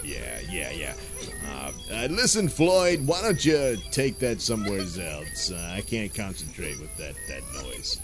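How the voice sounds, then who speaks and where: A man's voice: sits low, big pitch swings, a little slow, full character voice. Man as sheepish sci-fi cartoon commander, stammering, very swoopy delivery, music underneath. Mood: distracted, instructing.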